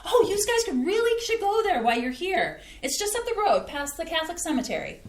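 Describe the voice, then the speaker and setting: A woman's voice: very high-pitched, sing-song, fairly quick. An actress playing a chatty, homey Midwestern mother who is proud of her cooking, in a staged reading of a play. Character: folksy chatty Midwestern mom, proud home cook. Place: community theater stage. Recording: echoey theater room.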